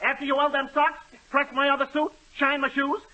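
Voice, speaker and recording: A man's voice: very high-pitched, flat delivery, a little fast, bright, forceful tone, exaggerated voice. A man playing a meek, henpecked little husband in a 1930s radio comedy sketch, whiny and nervous, then briefly bossy. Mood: blustering. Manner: whiny, nervous, turns blustering. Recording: muffled 1930s radio broadcast.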